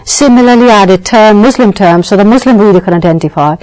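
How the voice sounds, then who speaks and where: A woman's voice: mid-range voice, quick pace, darkish tone, poor audio. A British woman, a novelist and scriptwriter, answering interview questions in a thoughtful, emphatic way with frequent self-corrections. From Britain.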